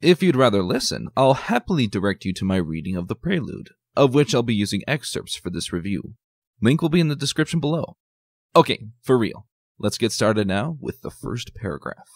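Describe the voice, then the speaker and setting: A man presenting a scripted review in an expressive, emphatic way, with dramatic pauses. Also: mid-range voice, creaky, dry recording. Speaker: host, reviewer of fan fiction. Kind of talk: scripted video review commentary.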